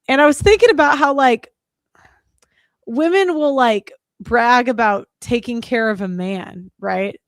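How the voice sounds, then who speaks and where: A woman's voice: on the high side, a little slow, no room sound. A woman hosting a call-in show, talking casually with many likes and ums. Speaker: podcast host.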